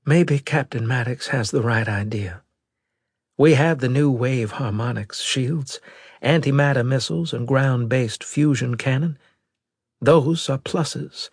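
A higher-pitched, husky voice for a worried but resolute female brigadier , acted out by a male audiobook narrator. The speech is formal and emphatic, full of earnest loyalty, and sounds dry and close. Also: a little slow, dry recording. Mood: doubtful. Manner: formal, emphatic military address. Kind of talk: audiobook character dialogue.

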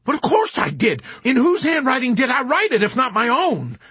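A man voicing a bewildered, timid army chaplain who stammers and pleads, rising to indignant shouts. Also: very high voice, wildly swooping pitch, moderate pace, breathy edge, no room sound, voice pushed up, full character voice.

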